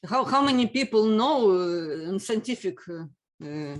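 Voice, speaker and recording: A man's voice: high voice, lively intonation. Hesitant man asking questions. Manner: hesitant, halting questions with many fillers. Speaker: interviewer, host. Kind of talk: casual interview questions.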